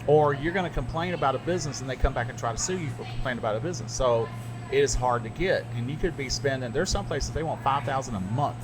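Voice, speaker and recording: A middle-aged man with a Southern US accent, a radio broadcaster chatting casually on a podcast, animated and quick to jump up in pitch when he makes a point. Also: noisy background. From American South. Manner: animated storyteller, jumps up in pitch when emphatic.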